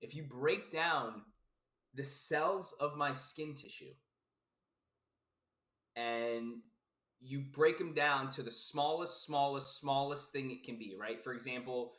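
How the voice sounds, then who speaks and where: A man's voice: medium pitch, slow, fairly bright tone. Emphatic life coach man, roomy sound. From South Florida, US. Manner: emphatic and repetitive, casual swearing, many fillers. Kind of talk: casual philosophical podcast monologue. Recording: roomy echo.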